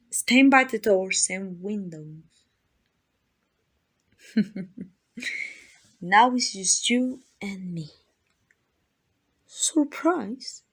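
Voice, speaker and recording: Woman acting a slow-talking, teasing vampire villainess. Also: mid-range voice, slightly rough. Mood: smug, menacing. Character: arrogant, teasing, possessive female vampire, predatory and condescending. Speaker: amateur voice actor. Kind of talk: ASMR roleplay acting addressed to the listener.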